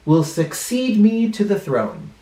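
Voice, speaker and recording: A man voicing a very old storybook emperor who makes a measured, solemn proclamation. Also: sits high, huge pitch swings, dark tone, voice pushed up, full character voice. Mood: proclaiming. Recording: roomy, echoing room sound.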